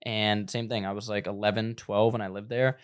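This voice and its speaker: Fast-talking podcast host man. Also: on the low side, little pitch movement, smooth. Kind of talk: casual podcast chat.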